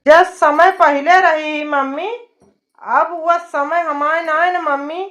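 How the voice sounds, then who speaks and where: A performer voicing an eager, bragging young village son-in-law in an Awadhi cartoon comedy, pleading and respectful, in a pitched-up voice. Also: steady tone, slow pace, a bit bright. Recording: dull lo-fi cartoon soundtrack.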